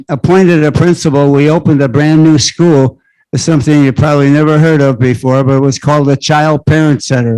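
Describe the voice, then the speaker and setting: A man's voice: on the high side, darkish tone, clear, resonant tone, no room sound, poor audio. An older man, a retired school principal, speaking at a community panel on a handheld mic, rambling with frequent restarts. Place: library event room. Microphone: handheld microphone.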